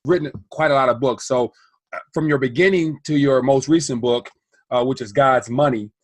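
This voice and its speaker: A man's voice: medium pitch, normal speed, no echo. A man hosting a finance interview, asking long, rambling questions. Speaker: interview host. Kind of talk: podcast interview.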